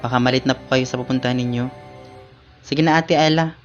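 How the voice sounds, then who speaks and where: A man's voice: medium pitch, fairly even intonation, fairly quick, close to an everyday voice. A man acting a dutiful, peacemaking teenage son in an amateur Filipino radio drama. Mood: placating. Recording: dull lo-fi sound, music bed.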